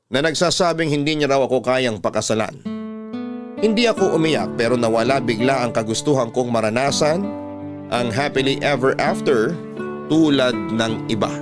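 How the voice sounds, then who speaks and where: A man with a Filipino accent narrating a scripted first-person story in radio drama style, with music behind him. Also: mid-range voice.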